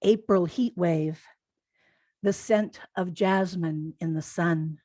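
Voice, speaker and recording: Slow-paced woman reading poetry, dry sound. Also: on the low side, dark tone, dry recording. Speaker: haiku poet.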